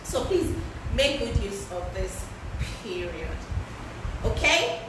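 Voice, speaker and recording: A woman teaching a pattern-drafting class over a livestream, talking loudly and repeating key words for emphasis. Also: medium pitch, sing-song, slow. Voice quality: loud voice. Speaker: fashion pattern-drafting instructor. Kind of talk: live-streamed class, casual instruction. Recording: echoey distant livestream with background noise.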